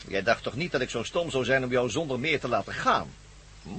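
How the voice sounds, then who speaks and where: A man's voice: mid-range voice, expressive, a little fast, fairly bright tone, a little hiss or hum, close to an everyday voice. An actor playing a megalomaniac villain in a radio drama, menacing, pompous and theatrical, gloating over his schemes. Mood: smug, menacing. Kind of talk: Dutch radio drama acting.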